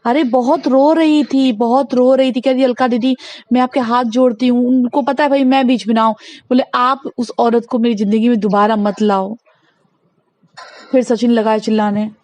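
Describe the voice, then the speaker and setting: A woman with a somewhat high-pitched voice chats casually on a recorded phone call. The sound is close and dry. She speaks insistently, repeating phrases as she gives advice. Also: fairly even intonation, normal speed, darkish tone, no room sound. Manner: insistent, repeats herself, advising. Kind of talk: casual chat, phone conversation.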